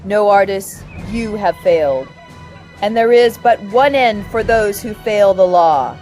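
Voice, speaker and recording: A woman's voice: medium pitch, animated, a little slow, darkish tone, faint music behind, lightly acted. A woman acting in an audio drama as a stern, pitiless zealot leader, solemn and declamatory like a ceremony.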